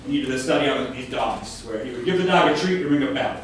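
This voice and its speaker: A man's voice: medium pitch, quick pace, a little hiss or hum. A young man from Minnesota with a Minnesotan accent, performing a self-deprecating stand-up comedy set with crowd work. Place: comedy club stage. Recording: echoey club audio from a distance.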